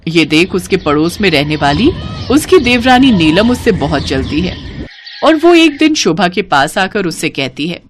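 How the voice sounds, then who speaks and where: Woman storyteller with lively, swooping pitch. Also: a little slow, slight background noise. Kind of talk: scripted narration of a moral story.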